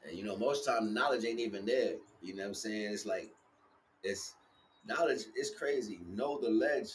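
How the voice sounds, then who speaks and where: Low-voiced man talking casually. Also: normal speed, a little hiss or hum. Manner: repeats himself with frequent conversational check-ins. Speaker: spiritual livestream host. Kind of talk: casual freeform spiritual talk. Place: outdoors.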